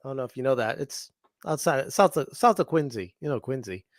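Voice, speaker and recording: A man's voice: medium pitch, expressive, a little fast, no echo, clean studio sound. A man from Massachusetts with a light Boston accent, a guest chatting casually and with amusement on a livestream. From Massachusetts South Shore.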